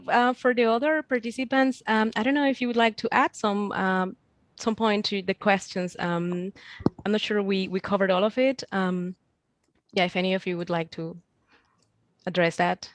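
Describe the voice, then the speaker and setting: A woman's voice: mid-range voice, steady tone, normal speed, no echo. Female host of an online meetup, encouraging and affirming, close dry sound. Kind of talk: facilitating a group meeting.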